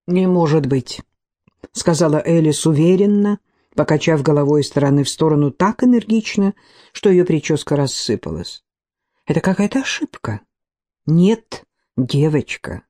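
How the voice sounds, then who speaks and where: A woman narrating a novel as an audiobook, reading every character's lines in her own unhurried voice. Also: on the low side, mellow.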